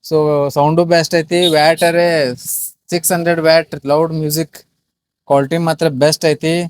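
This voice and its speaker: North karnataka guy doing a casual unboxing pitch, slightly high voice, pretty flat tone, dry sound. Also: normal speed, no room sound. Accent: North Karnataka Kannada. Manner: repetitive salesman patter with English words mixed in. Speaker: shop video host and product presenter.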